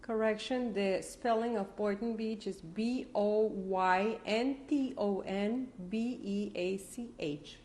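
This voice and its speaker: A woman's voice: mid-range voice, fairly even intonation, takes it slowly, few pauses, mellow, slight background noise. A woman conducting an oral history interview, formal and careful, spelling out names.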